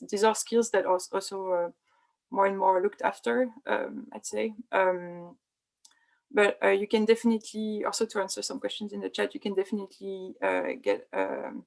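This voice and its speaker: Young woman researcher, halting delivery with many fillers, gravelly fry, video call. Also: mid-range voice, darkish tone, poor audio. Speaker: think tank researcher. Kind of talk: online panel talk.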